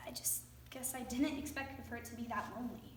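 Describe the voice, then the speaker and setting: A woman's voice: on the high side, steady tone, fairly quick, lightly acted. A young woman playing a troubled, isolated teenage daughter of a drunk driver in a readers theater monologue. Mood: wounded, wry. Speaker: student readers theater performer. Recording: echoey room from far off, faint noise.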